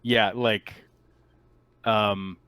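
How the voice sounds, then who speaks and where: Dry-sounding male game master, halting. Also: lowish voice, little pitch movement, bright, forceful tone, clean tone, no room sound. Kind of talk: casual tabletop game refereeing.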